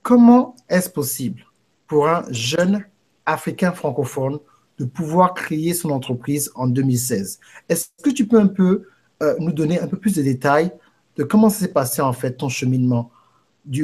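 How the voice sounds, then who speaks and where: A man's voice: a little high, lively intonation, moderate pace, a little mellow, slightly rough. A man hosting an interview, effusive and eager, often exclaiming in wonder. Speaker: interview host.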